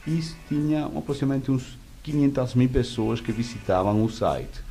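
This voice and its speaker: A man with a Venezuelan accent and native Spanish, answering interview questions conversationally in halting speech, searching for words. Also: mid-range voice, expressive, dark tone, soft background music. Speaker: interview guest, media executive.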